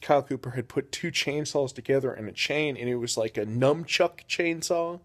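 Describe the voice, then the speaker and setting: Young man, storyteller, rambling, deadpan, filler-heavy. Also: medium pitch, normal speed. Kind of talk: improvised comedic storytelling.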